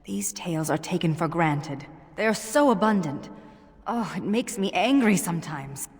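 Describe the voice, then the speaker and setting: Low-voiced, proud elf. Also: female voice, animated, normal speed, in a large hall. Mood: wistful, indignant. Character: proud, earnest young elf woman, wistful and prickly. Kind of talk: video game voice acting.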